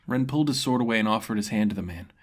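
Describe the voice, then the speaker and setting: A man's voice: lowish voice, rapid-fire. A man reading scripted fantasy narration as a storyteller.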